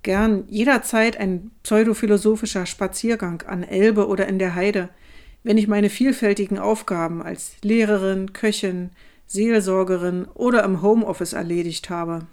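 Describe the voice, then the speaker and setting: An outspoken, questioning woman, played by an actress with a medium-pitched voice. Her delivery is pointed, wry and articulate, in the manner of online comments performed for a radio play. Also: steady tone, moderate pace, darkish tone, close to an everyday voice. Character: outspoken working mother and teacher, questioning, wry. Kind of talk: radio play collage reading of online posts.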